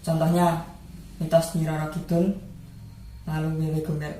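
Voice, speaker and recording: A young man, a student presenter, explaining material in halting short phrases. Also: fairly high pitch, completely flat delivery, warm tone, a little hiss or hum. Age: young adult. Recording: very echoey room, faint music.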